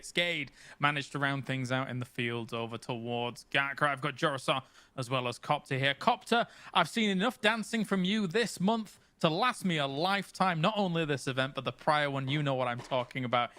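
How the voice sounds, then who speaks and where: A man's voice: on the high side, bright, forceful tone, dry recording. A man hosting an esports broadcast desk, upbeat and teasing with his panel. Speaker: broadcast host. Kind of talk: live desk banter.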